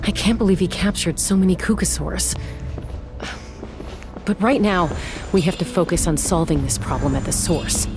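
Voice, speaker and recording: A composed young ranger from a video game speaks in a calm, focused way, voiced by a woman. Her voice sits a little low. Clear game music plays behind her. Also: normal speed, long pauses, close to an everyday voice. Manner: calm, level-headed.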